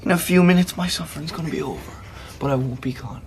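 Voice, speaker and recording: An actor in a radio play voicing a terminally ill man who speaks gently, with wry, reassuring calm. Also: fairly high pitch, quick pace, slight background noise, natural voice. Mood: tender, reassuring. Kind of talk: radio play acting, intimate dialogue.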